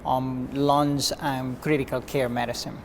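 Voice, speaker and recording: A man from Cuba, a physician guest, answering interview questions in an earnest, measured way with frequent restarts. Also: medium pitch, little pitch movement, slight background noise.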